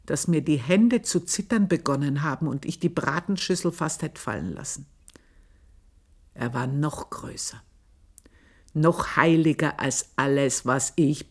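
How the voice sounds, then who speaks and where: Brief: low-voiced bitter old maid, slow and confiding, lively melody. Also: female voice, heavily acted. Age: older. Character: old female housemaid, bitter and proud. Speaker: housemaid telling her life story. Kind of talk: radio play monologue.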